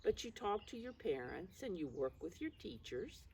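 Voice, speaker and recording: Mature woman, preschool storytime teacher, animated intonation, encouraging, explains things simply; distant mic with faint noise behind. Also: fairly low pitch, normal speed. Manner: encouraging, addresses young children directly. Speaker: preschool teacher reading a picture book. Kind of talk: children's read-aloud with simple explanations.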